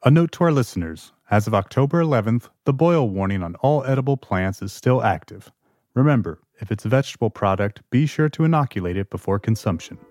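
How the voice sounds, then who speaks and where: An actor playing a formal, matter-of-fact public radio announcer reading sponsor notes and bulletins in an audio drama. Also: male voice, medium pitch, expressive, fairly quick, darkish tone, no echo, studio quality, natural voice.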